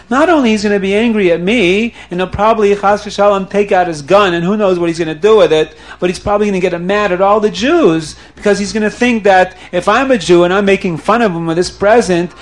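A frightened estate manager, as a male storyteller puts him on, wailing and fretting in a high voice. The worry tumbles out of him. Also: brisk, few pauses, close to an everyday voice. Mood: fearful. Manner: panicky, wailing, fretful.

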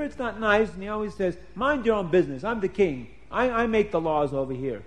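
Guy doing a sweet naive princess, high and swooping all over. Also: close to an everyday voice. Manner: chatty, pleading. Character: young Egyptian princess, kind, chatty and naive.

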